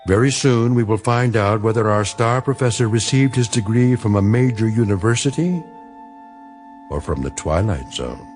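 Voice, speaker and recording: Casting: man as knowing, ominous narrator for anthology radio drama, low register, lively intonation. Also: normal speed, music in the background, lightly acted. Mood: measured, foreboding. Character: male omniscient narrator, measured, ominous, knowing.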